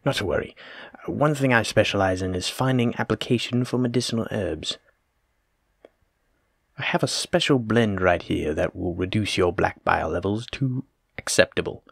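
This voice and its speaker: A man's voice: lowish voice, gravelly, no room sound, studio quality. A man playing a courteous, learned plague doctor in a roleplay audio drama, speaking formally and reassuringly as he attends to a patient. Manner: formal, polite, reassuring, wordy. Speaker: physician examining a patient. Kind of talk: soft-spoken roleplay audio drama, addressing the listener.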